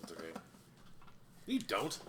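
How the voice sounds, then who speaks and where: Bright-voiced man over background noise. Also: unhurried. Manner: sweary, teasing. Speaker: podcast co-host. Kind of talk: casual chat.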